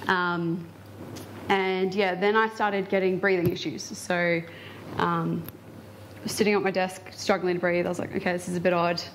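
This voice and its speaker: A woman's voice: fairly low pitch, flat delivery, moderate pace, a little hiss or hum, rough recording. A woman telling an audience about her own experience, casual and hesitant with many fillers. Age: 20s. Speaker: invited speaker. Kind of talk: personal account to an audience.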